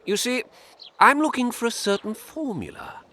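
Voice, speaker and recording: An actor playing a sly, cautious schemer in a video game, polite and calculating in a theatrical way. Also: male voice, extremely high pitch, animated, normal speed, sharp tone, slight background noise, heavily acted. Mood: persuasive. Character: sly, cautious male schemer after a secret formula.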